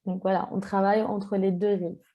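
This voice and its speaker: A woman from Tunisia with a mellow, dark-toned voice introduces herself on a webinar. She speaks in short phrases and fills many pauses with "euh". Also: mid-range voice, level tone. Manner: hesitant, frequent fillers. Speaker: social enterprise cofounder.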